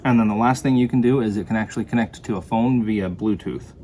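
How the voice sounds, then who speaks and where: A man's voice: fairly low pitch, quick pace. Man demoing a product, some background noise. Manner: plain, explanatory. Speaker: product reviewer. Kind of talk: casual hands-on product demonstration.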